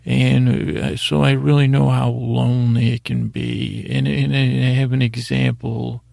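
A man hosting a bedtime podcast with a gravelly, husky voice, rambling and halting in a soothing, unhurried, self-deprecating way. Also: mid-range voice, flat delivery, a little mellow, dry recording. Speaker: podcast host. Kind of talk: meandering bedtime podcast monologue.

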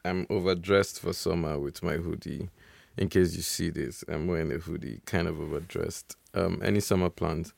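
Deep gravelly man, casual podcast chat. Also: moderate pace, dry recording. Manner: relaxed, rambling with restarts and laughs. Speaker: podcast co-host.

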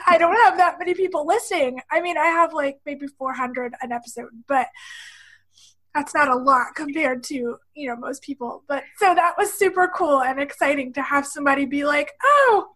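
A woman's voice: sits high, sharp tone. A woman hosting a podcast, upbeat and chatty, laughing often as she welcomes her guest. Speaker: podcast host. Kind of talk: casual interview chat.

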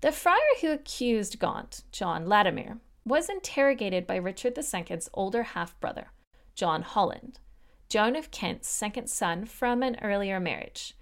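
A woman's voice: medium pitch, expressive, unhurried. Woman narrating a history podcast. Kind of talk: scripted narration with casual asides.

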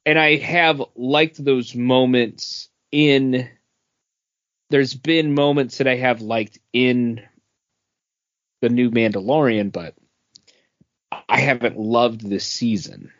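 A man's voice: mid-range voice, slow pace, long pauses, a bit bright, no echo, muffled recording. A man who has been drinking, chatting casually as a podcast co-host. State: tipsy from drinking. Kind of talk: casual chat.

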